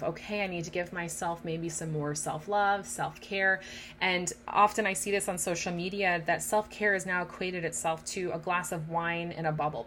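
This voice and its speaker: A woman's voice: on the low side, pushed and bright, a little hiss or hum. A woman in her thirties hosting a casual podcast, talking straight to listening mothers in an encouraging way. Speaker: podcast host.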